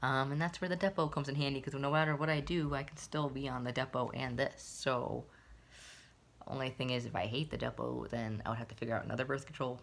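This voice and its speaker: A man's voice: mid-range voice, fairly even intonation, fairly quick, gravelly, a bit bright, slight background noise. A young man in his twenties rambling casually to a webcam in a small bedroom, with many fillers and restarts. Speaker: vlogger. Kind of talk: casual personal vlog.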